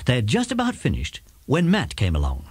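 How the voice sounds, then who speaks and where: A man's voice: medium pitch, huge pitch swings, a little fast, husky, dry recording. A man with an English accent narrating a scripted children's audiobook.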